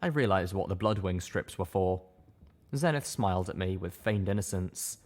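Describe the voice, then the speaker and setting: A man reading first-person fiction aloud with steady, dramatic storytelling. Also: low voice, moderate pace. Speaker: audiobook reader.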